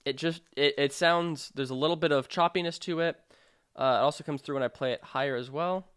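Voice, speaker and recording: Clear-voiced man giving a dry tutorial. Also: fairly high pitch, a little fast, fairly bright tone, no room sound. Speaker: sound design tutorial presenter. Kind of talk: software tutorial narration.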